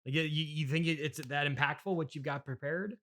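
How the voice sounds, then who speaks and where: A man's voice: fairly high pitch, steady tone, brisk, fairly bright tone. A man hosting a casual comedy interview, speaking in a hesitant, flustered way with frequent stammering. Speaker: podcast host and interviewer.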